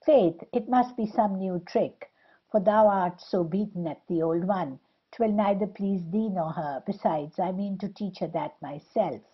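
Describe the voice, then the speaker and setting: A mocking period-comedy wit played by a woman declaims insults with scorn. The voice is husky and mid-pitched. The recording is slightly dull and lo-fi. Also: a little slow. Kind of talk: Restoration comedy audio play acting.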